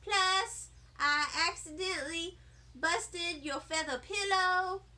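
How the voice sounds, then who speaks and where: A woman reading a children's audiobook as an excitable, cheeky first-grade girl with childish grammar and dramatic emphasis. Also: very high voice, slow, voice pushed up, full character voice. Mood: chatty, eager. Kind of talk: children's audiobook read-aloud.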